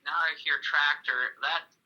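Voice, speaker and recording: A man's voice: fairly high pitch, fairly even intonation, measured pace, bright, forceful tone. A young man talking casually over a telephone, polite and a little hesitant. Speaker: prank call target. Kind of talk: casual phone conversation. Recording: voice over a phone call.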